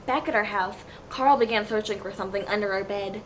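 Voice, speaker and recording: A woman's voice: medium pitch, brisk. A woman narrating a comedic dramatic reading of fan fiction in a mock-serious storybook manner. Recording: clearly noisy home recording.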